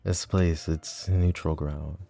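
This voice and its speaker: Man acting a low, gravelly flirty mafia boss. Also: fairly even intonation, slow, dark tone, soft background music, heavily acted. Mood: serious, low. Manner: intimate, teasing, casual, addressing the listener directly. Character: flirty, possessive mafia boss, playful lover to a detective. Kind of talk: ASMR roleplay acting.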